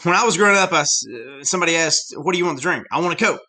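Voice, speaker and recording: A middle-aged man with a Southern American accent, teaching a class in a chatty, animated way. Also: a little high, rapid-fire. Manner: chatty, animated, reacts with surprised interjections. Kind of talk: classroom lecture.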